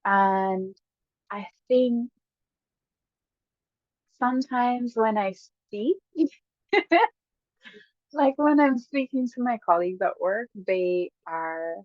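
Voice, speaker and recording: A woman with a Guyanese accent, a guest casually telling stories with lively bursts and frequent laughs. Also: medium pitch, dry recording. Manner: lively, laughs often, quick bursts when excited. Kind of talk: casual storytelling chat. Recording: dull lo-fi dry sound.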